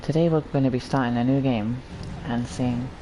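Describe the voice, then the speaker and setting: Hoarse, phlegmy man chatting over game music. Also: mid-range voice, steady tone, moderate pace, poor audio. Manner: rambling, apologetic, with throat clearing. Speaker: gaming channel host. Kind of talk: casual gameplay commentary. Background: video game music.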